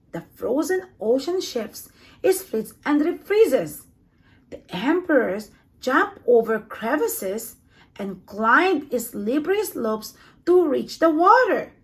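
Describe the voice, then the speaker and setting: A woman reading a children's picture book aloud in an expressive storytelling manner, giving extra emphasis to sound words. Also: high-pitched. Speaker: storybook reader.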